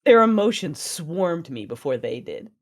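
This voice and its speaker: A woman acting as a controlled, proud Sith mother, narrating and speaking in a measured, intense way in an audio drama. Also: low-pitched, big pitch swings. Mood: tender. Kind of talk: first-person dramatic narration and dialogue.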